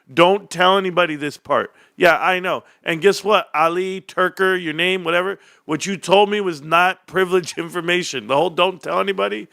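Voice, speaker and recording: A man ranting on his own stream in a sharp, bright voice that sits a little high for a man. He is combative and sarcastic, reading out messages between his own pointed jabs. The sound is dry and close to the mic. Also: vocal fry, no echo. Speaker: stock commentary streamer. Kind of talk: heated casual rant.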